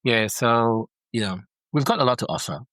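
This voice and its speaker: A man's voice: medium pitch, lively intonation, normal speed, a bit bright, no echo. A middle-aged man from Singapore, a foster dad talking earnestly on a call and often repeating words as he thinks. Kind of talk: heartfelt interview over a call. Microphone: video call.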